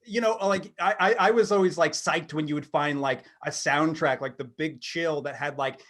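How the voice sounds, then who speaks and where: A middle-aged American man co-hosting a podcast, talking in an animated, rambling, self-deprecating way, between comic monologue and casual chat. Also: on the high side, normal speed. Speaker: podcast co-host.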